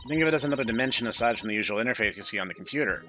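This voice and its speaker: A man's voice: mid-range voice, expressive, very fast, pushed and bright, slight background noise. A man voicing a blunt, cocky female AI virus from a visual novel, matter-of-fact and precise. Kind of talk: visual novel character read-aloud.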